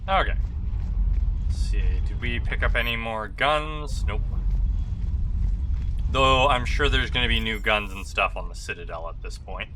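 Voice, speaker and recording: A man's voice: mid-range voice, unhurried, pauses often, pushed and bright. A man casually commenting as he plays a game on stream, offhand and joking. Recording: noisy game audio behind.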